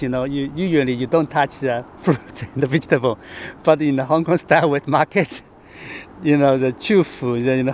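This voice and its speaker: A man from Chengdu with a Chinese accent, a travel vlogger talking casually to camera outdoors, halting and full of fillers. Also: medium pitch, a little hiss or hum. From Chengdu, China. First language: Sichuanese. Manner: chatty, halting, with frequent fillers and laughs. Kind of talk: casual vlog talk to camera. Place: outdoors in the city.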